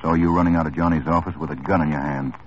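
A deep, fast-talking hard-nosed detective. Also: male voice, steady tone, a little hiss or hum. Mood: probing. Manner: quick, probing interrogation. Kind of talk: 1950s radio drama acting. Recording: muffled old radio broadcast.

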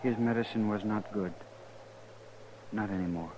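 An actor playing a solemn, stoic son in a 1950s radio western, speaking in halting, formal, simple phrases. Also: male voice, lowish voice, noisy background, close to an everyday voice. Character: solemn, stoic Native son of a slain man.

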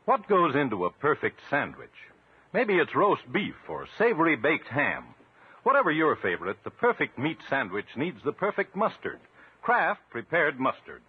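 A man's voice: a little high, wildly swooping pitch, normal speed, breathy edge. A man reading a scripted 1950s radio commercial as an announcer. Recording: tinny vintage 1950s radio recording.

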